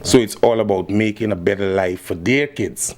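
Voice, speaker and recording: A man with a Guyanese accent, a musician guest talking in a relaxed, laid-back way and often saying "yeah, man". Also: fairly low pitch, normal speed. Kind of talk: casual interview.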